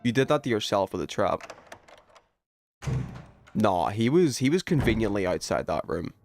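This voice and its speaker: Male streamer, casual gameplay chatter, very expressive pitch, slang and cursing, faint music underneath. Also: moderate pace. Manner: slangy, swearing. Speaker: video game live streamer.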